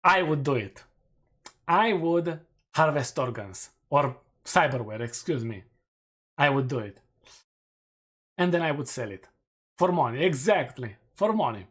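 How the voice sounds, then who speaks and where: A man's voice: fairly high pitch, animated, measured pace, long pauses, fairly bright tone, husky. A man with a Romanian accent, a streamer casually going over community poll results with self-mocking jokes. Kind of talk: casual chat reading community polls.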